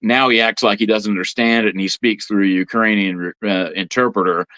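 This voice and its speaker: A man speaking as an interview guest, informally explaining history and politics in a halting way, repeating words as he goes. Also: on the low side, fairly even intonation, pushed and bright, no room sound. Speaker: guest priest on a religious podcast.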